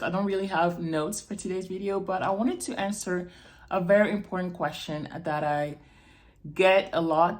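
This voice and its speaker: A woman's voice: on the low side, darkish tone. A woman from Morocco speaking off the cuff to camera as a video blogger, explaining things and checking in with the listener. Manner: explanatory, checks in with "okay?" and "right?". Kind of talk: unrehearsed personal talk to camera.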